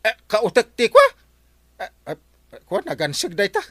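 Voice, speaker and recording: An actor playing an excitable middle-aged village captain in a radio comedy, swinging between yelps and mutters. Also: male voice, high-pitched, moderate pace, no room sound, clean studio sound, exaggerated voice. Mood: flustered. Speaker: barangay captain. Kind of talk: radio comedy drama acting.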